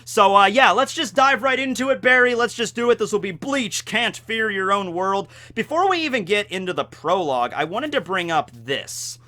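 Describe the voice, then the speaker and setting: A man hosting a video, talking to viewers in a casual, slangy, animated way like a fan giving commentary. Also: high-pitched, normal speed, few pauses. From United States. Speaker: video host reviewing a light novel.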